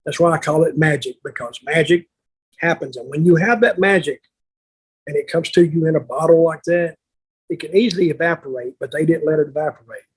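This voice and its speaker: An older man with a husky, raspy voice that sits a little high. He tells anecdotes casually, like a veteran on a podcast, blunt and salty, slipping into remembered exchanges. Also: fairly quick, poor audio. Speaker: veteran wrestler telling stories on a podcast.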